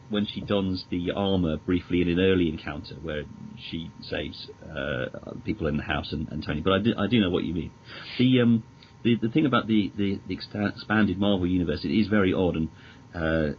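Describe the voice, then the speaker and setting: A British man chatting as a podcast guest, measured and polite, often stammering and restarting his sentences. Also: deep voice. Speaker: podcast guest, magazine editor. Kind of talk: casual interview chat about films. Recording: dull lo-fi audio with clear noise.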